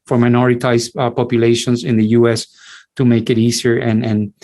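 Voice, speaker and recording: Middle-aged man, mid-pitched, halting academic lecturer. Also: monotone, warm tone. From Cuba. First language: Spanish. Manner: halting, many restarts and repeated words. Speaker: infectious disease physician, lecturer. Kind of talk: online lecture.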